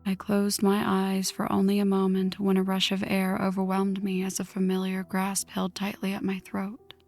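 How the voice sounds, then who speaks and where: A woman voicing a vengeful, newly turned vampire in a hushed, breathy ASMR story narration, slow and dramatic. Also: mid-range voice, monotone, close to an everyday voice. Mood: tense, vengeful. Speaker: audio roleplay narrator. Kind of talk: ASMR scripted first-person story narration. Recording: music under the voice. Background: background music.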